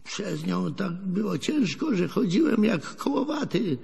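A man's voice: high voice, very animated, measured pace, creaky, darkish tone, a little hiss or hum, full character voice. An actor playing a folksy, wry old grandfather who tells rambling rustic tales in a radio play. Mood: confessional, sorrowful. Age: older. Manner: rambling folksy storytelling, chuckling, rustic colloquial speech. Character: folksy old grandfather, railwayman's son, wistful and wry storyteller. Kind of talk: radio drama acting. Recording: long hall reverb.

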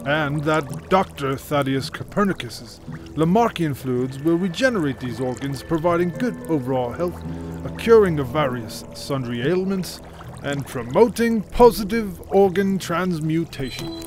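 Audio drama role: deferential, ruthless period henchman, fairly high male voice, formal, music underneath. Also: animated. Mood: inquiring. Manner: formal, measured period diction. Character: deferential 1830s English henchman and salesman, quietly ruthless. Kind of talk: family audio drama acting.

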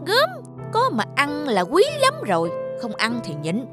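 A woman voicing a greedy, stingy old male landlord in a cartoon, gruff and bossy. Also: high-pitched, huge pitch swings, measured pace, full character voice. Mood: scolding. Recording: studio voice with loud music.

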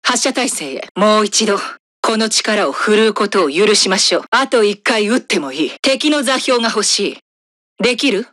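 A woman's voice: medium pitch, animated, moderate pace, fairly bright tone, no echo, lightly acted. A woman playing an imperious, megalomaniac commander of a secret satellite superweapon in a video game, with a haughty, theatrical, commanding delivery. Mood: cool, commanding. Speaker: military satellite weapon operator. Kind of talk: dramatic Japanese video game voice acting.

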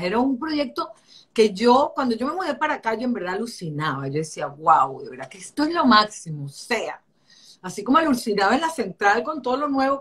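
Middle-aged Caracas-accented woman, slightly high voice, lively storyteller on a video call. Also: breathy edge. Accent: Caracas Venezuelan. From Venezuela. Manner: animated, chatty storytelling with many restarts. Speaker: architect interviewee. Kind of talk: casual interview. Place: home room.

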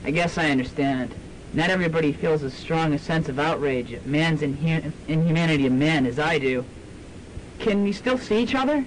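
A man's voice: on the high side, fairly quick, lightly acted, poor audio. A man playing an earnest, hapless young student revolutionary with a dry delivery in a campy college radio drama. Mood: hurt, earnest. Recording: amateur student radio, faint noise and bustle.

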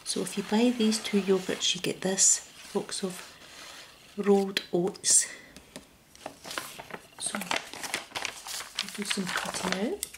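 A Scottish woman speaking ASMR in a soft, gentle whisper close to the mic, at a table indoors. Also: mid-range voice, steady tone, slow pace, pauses often, background noise. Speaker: ASMR creator.

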